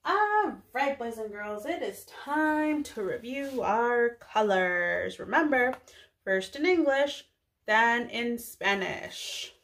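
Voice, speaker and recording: A young woman with a slightly high voice teaches preschoolers. She goes very slowly, enunciating each word and putting simple questions to the children. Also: animated, a bit bright. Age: young adult. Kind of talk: children's lesson, addressing kids, English with some Spanish words.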